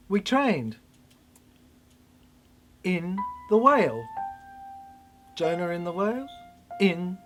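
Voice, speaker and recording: Spoken-word monologue, theatrical, slow pace, very animated pitch, fragmented halting phrases.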